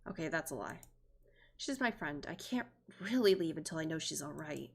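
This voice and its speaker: A woman's voice: deep voice, expressive, moderate pace, vocal fry, husky. A woman streamer reading a visual novel aloud, expressively and theatrically, with joking asides. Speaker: streamer, virtual YouTuber.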